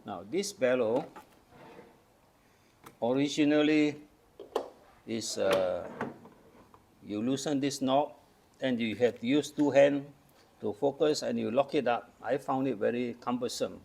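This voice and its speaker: A man's voice: mid-range voice, a little slow, gravelly, long pauses, darkish tone, slight background noise. An older man from Malaysia casually demonstrating old cameras, explaining each step patiently. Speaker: camera collector. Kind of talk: casual show-and-tell demonstration.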